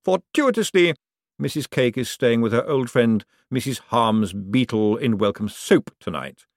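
A man voicing a quiet, deferential male servant who is also an assassin, speaking in a calm, flat way. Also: mid-range voice, a little slow, dry recording, clean studio sound.

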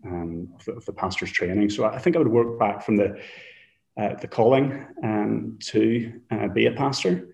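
Low-voiced man. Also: creaky, darkish tone. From Northern Ireland. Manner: thoughtful, halting with fillers and restarts. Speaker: Hebrew lecturer, guest. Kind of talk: casual interview talk.

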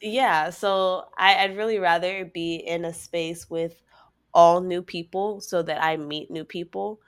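Stuffy-nosed woman, chatty and low. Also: fairly even intonation, measured pace, clean tone. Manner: chatty, storytelling, leans on "like" a lot. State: stuffy from a cold. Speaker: podcast co-host. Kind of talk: casual chat.

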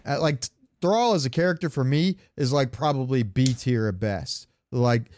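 A man's voice: mid-range voice, normal speed, darkish tone. A man co-hosting a casual podcast, debating game lore in an argumentative, insistent way and often repeating himself. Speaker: podcast co-host.

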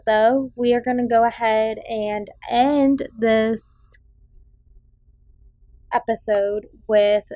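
Slow-talking chatty woman podcaster. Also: fairly high pitch, darkish tone, dry recording. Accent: faint Boston. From Massachusetts South Shore. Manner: chatty, opinionated, frequent ums and restarts. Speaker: podcast host. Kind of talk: casual movie review chat.

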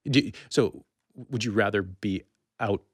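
Low-voiced man interviewing casually, dry recording. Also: no room sound. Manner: exploratory, halting, rambling questions. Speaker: podcast interviewer.

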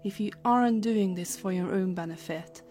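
A woman playing a smug, calculating villain in a sci-fi audio drama, deliberate and condescending. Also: medium pitch, dark tone, soft background music, close to an everyday voice. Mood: threatening. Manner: deliberate, condescending, coolly menacing. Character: smug, calculating female villain of a time-travel agency.

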